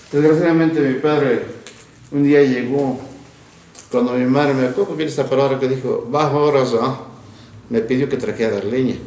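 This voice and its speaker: An older man from Mexico, a retired teacher, recalling his childhood in an interview, reflective and often laughing, with halting restarts. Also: medium pitch, dark tone, echoey room, a little hiss or hum. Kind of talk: recounting childhood memories.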